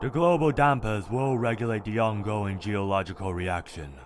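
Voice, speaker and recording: A man voicing a cold, calculating, one-eyed male robot scientist in a comic dub, speaking in a measured, clinical, detached way. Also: lowish voice, background noise, natural voice. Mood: coldly logical. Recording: dramatic music underneath.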